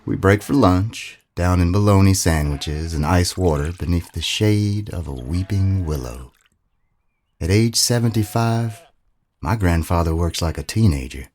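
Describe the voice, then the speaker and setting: A man narrating a scripted first-person story in a dramatic, brooding, deliberate manner. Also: sits low, darkish tone.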